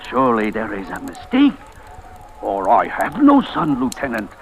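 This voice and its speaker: An actor playing a proud, bitter, desperate older husband in a theatrical old radio drama, imperious and sarcastic. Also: male voice, fairly low pitch, huge pitch swings, moderate pace. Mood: anguished dread. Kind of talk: 1940s-50s radio drama acting. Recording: noisy vintage radio recording.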